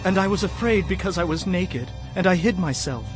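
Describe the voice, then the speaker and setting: Innocent first man, high earnest voice, bible drama, bit of music under. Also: expressive, fairly quick. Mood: fearful, defensive. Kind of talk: dramatized scripture acting.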